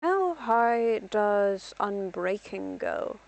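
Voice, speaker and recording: A woman's voice: medium pitch, sing-song, extremely slow, a little mellow, rough recording. A young woman from Ireland rambling through casual gameplay commentary as a streamer, self-deprecating and talking to herself.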